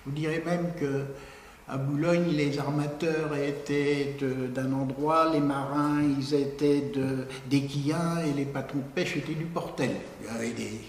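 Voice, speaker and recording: A man's voice: fairly high pitch, steady tone, a little slow, a little hiss or hum. Man in an echoey hall. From Picardy, France. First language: Picard. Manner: conversational and digressive, with frequent "hein" tags. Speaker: lecturer. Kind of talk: public lecture. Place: large lecture hall. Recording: echoey hall with long reverb.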